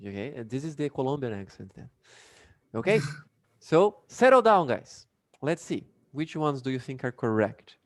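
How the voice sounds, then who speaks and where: Brazilian-accented man as a casual English teacher, animated, dry sound, talks with many fillers. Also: medium pitch, normal speed, no echo. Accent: Brazilian Portuguese. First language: Portuguese. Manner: chatty, many fillers, laughs often. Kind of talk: casual online class.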